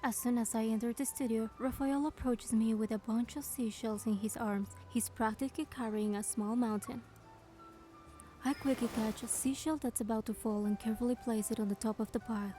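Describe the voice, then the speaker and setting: Here is a woman playing a young heroine narrating a romance game in first person. Her voice is on the high side and very mellow, with a gentle, measured manner. Quiet music plays behind. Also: fairly even intonation, lightly acted.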